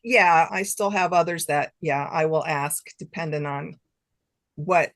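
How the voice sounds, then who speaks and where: A middle-aged woman talks as a guest author in a casual chat. Her voice sits a little low, in a dry recording, and she thinks aloud informally. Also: unhurried, dry recording. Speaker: author being interviewed.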